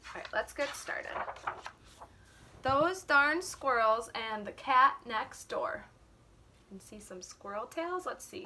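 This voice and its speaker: A woman reading a story aloud to young children, expressive, with simple explanatory asides. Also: a little high. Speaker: children's teacher and story reader.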